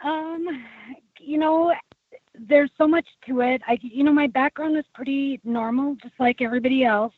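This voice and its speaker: A woman's voice: fairly even intonation, moderate pace. Woman guest on a tinny phone line. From United States. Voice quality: low voice after a tracheotomy. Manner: chatty, many fillers and restarts. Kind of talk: casual interview talk.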